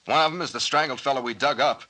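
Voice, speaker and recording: An actor playing a commanding, wry, tough captain who gives clipped orders and wisecracks in old-time radio style. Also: male voice, mid-range voice, fast, sharp tone, natural voice. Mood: brisk, commanding. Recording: noisy old radio recording with clicks.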